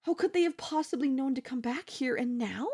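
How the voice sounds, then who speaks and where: A woman's voice: sits high, brisk, darkish tone, husky. A woman playing an obsessive, scheming yandere in a theatrical, haughty manner with formal phrasing, in an ASMR audio roleplay. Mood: stunned, smitten.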